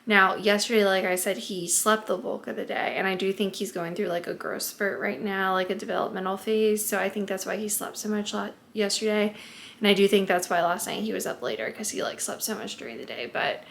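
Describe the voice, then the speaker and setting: A young woman vlogging casually at home as a stay-at-home mom, chatty and often hushed, congested and a bit raspy from allergies. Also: mid-range voice, a little fast, a little hiss or hum. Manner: chatty, lots of "like" and "um", often hushed to not wake the baby. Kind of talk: casual vlog talk to camera.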